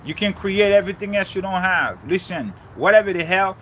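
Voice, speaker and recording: A man's voice: high-pitched, lively intonation, fairly quick, fairly bright tone, slight background noise, poor audio. A man from Haiti giving a fervent spiritual talk on faith and relationships, repeating key lines for emphasis. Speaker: spiritual speaker. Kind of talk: preachy motivational talk.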